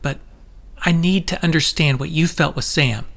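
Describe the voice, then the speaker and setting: An actor playing an intense, possessive, manipulative telepath lover in an audio drama, pressing in a coaxing, insistent way. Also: male voice, fairly high pitch, normal speed, fairly bright tone. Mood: wounded, insistent.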